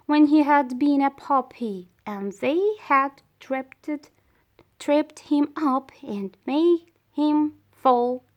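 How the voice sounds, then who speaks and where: A woman reading a children's story aloud in a deliberate way, pausing between phrases. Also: high voice. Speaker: storybook reader.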